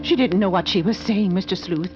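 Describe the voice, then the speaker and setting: A woman's voice: medium pitch, brisk, loud music behind the voice, natural voice. An actress playing an anxious, deferential middle-aged landlady with a hesitant, placating manner in an old radio drama. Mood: placating, urgent. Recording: muffled, noisy old radio recording.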